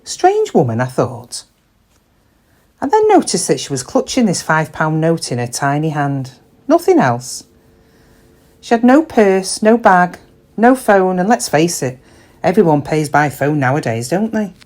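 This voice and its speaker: An actress playing a middle-aged British shop worker in a confiding, wry, conversational monologue, kind but quietly weary. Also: female voice, fairly low pitch, very animated, measured pace. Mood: pensive, confiding. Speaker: actor in a dramatic monologue.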